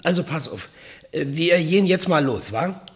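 Folksy caretaker, animated man. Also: fairly high pitch, moderate pace. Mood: chatty, instructing. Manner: colloquial, instructing, blunt. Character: gruff, folksy male caretaker, bossy but protective. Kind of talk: naturalistic German radio play acting.

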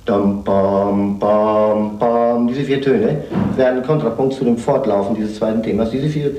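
A man's voice: on the low side, steady tone, unhurried, warm tone, far from the mic. A man explaining a piece of music off the cuff, singing out themes on simple syllables as examples. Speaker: interviewee explaining a symphony. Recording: roomy sound with faint music.

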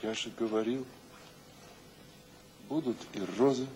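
A man's voice: medium pitch, fairly even intonation, a little slow, a little mellow, slight background noise, natural voice. An actor playing an intense, bitter yet exalted male poet in a radio drama. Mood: quiet. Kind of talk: theatrical radio drama dialogue.

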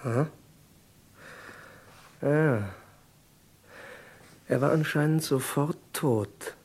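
An actor playing a businesslike intelligence agent in an old radio crime drama, questioning directly and dryly. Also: male voice, on the high side, drawn-out words, a little mellow, husky, exaggerated voice. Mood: probing, businesslike. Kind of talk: 1970s German radio crime drama acting.

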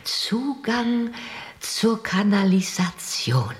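Slow, secretive old lady. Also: mid-range voice, very animated. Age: older. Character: secretive eccentric elderly lady, witchlike, evasive. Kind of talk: German radio drama acting.

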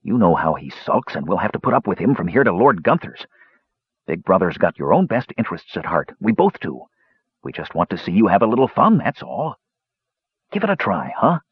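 A man's voice: lowish voice, huge pitch swings, moderate pace, husky, dry recording, full character voice. A man acts out an easygoing young storybook warrior, boastful and jaunty, always coaxing. His intonation swings widely. The recording is dry and somewhat muffled. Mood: confiding.

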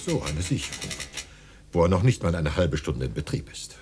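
A man playing a sceptical, deliberate poet and grumbling customer in a radio drama. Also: low voice, big pitch swings, background noise. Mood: sceptical, grudging. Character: sceptical, modest male poet running a business.

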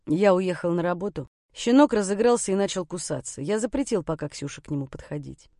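A woman voicing a confident, commanding man in an audiobook, speaking in a calm, sardonic, curt manner. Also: fairly low pitch, brisk, no echo, studio quality.

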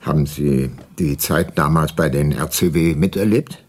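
An actor playing a persistent aging private detective in restrained radio drama dialogue, husky, formal and questioning. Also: male voice, extremely low pitch, unhurried, exaggerated voice. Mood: probing, earnest.

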